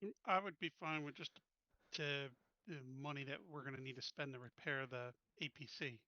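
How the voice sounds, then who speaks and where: A man's voice: mid-range voice, steady tone, normal speed, pushed and bright, no room sound, highs cut off. A man talking casually as a player in a tabletop roleplaying game.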